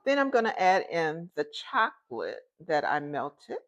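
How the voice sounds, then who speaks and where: Low-voiced chatty woman in a home kitchen. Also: very animated, a little slow. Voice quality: loud voice. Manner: chatty and homey, talks straight to viewers. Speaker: home cooking host. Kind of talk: casual cooking demonstration. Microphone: phone, later a clip-on mic.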